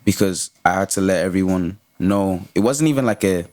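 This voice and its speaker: A man's voice: sits low, brisk, darkish tone, no room sound. A young man from Ireland with a light, American-tinged Irish accent, chatting casually as a rapper guest, slangy and repeating words for emphasis. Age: young adult, about 20. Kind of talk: casual chat.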